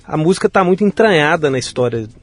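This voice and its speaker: A man in his forties with a Minas Gerais accent, a guest in an interview, talking in a relaxed way with frequent restarts. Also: fairly high pitch, quick pace, soft background music. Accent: Minas Gerais Brazilian Portuguese. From Minas Gerais, Brazil. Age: 40s. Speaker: guest, audio producer. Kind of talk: conversational interview.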